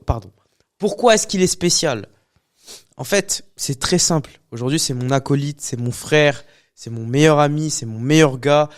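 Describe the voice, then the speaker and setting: A man hosting a podcast, greeting viewers and warmly introducing his best friend as the guest. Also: on the high side. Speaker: podcast host. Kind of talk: casual podcast talk.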